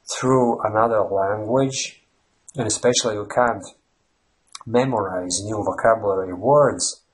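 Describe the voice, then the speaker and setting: A man's voice: on the low side, poor audio. A man from Latvia with a Latvian accent, hosting a language-learning channel and explaining at length in a rambling way with fillers and restarts. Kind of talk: unscripted explanatory monologue. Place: Ireland.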